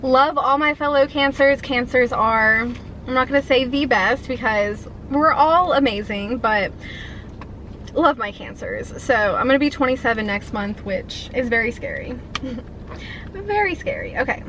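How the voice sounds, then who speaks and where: A young woman in her twenties with a high, bright-pitched voice chats to the camera while she eats. She talks eagerly and loosely, gushing over food and answering questions. A faint hum of background noise sits behind her. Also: lively intonation, a little slow, poor audio. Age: 20s. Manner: chatty, enthusiastic, talks while eating. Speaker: food vlogger. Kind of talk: casual mukbang chat.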